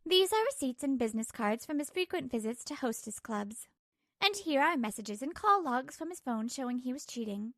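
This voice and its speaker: High-voiced woman narrating a scripted story. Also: animated, moderate pace, fairly bright tone, no echo, clean studio sound. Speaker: narrator and lead character.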